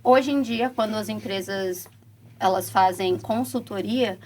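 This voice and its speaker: A woman's voice: medium pitch, measured pace, a little mellow, a little hiss or hum, rough recording. A woman with a Brazilian accent, a recruiter explaining hiring steps on a casual panel. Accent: Brazilian Portuguese. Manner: practical, explanatory. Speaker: international recruiter.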